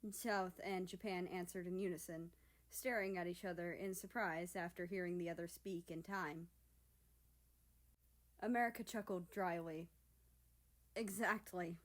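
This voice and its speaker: A woman's voice: fairly low pitch, fairly even intonation, moderate pace. A woman narrating scripted fiction as a story reader.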